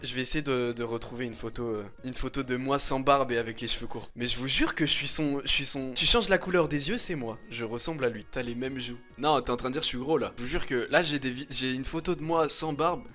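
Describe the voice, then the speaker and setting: A man, chatting casually on a livestream in a slangy, teasing, expressive way. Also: medium pitch, brisk, hardly any pauses, faint music behind. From France. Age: 20s. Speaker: livestreamer reacting to images and chat. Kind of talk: casual chat, livestream commentary.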